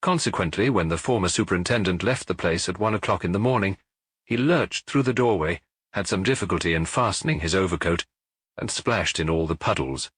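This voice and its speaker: Guy narrating a detective audiobook, lowish husky voice, really dry close sound. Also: brisk, fairly bright tone, no echo. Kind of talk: scripted literary narration of a detective story.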